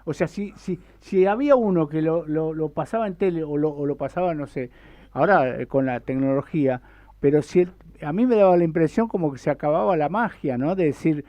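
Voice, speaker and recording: A man's voice: on the high side, fairly quick, mellow, dry recording. An Argentine man in his seventies chatting casually as a radio interviewer, rambling and hesitant in the way he talks. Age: 70s. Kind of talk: casual, reminiscing radio chat.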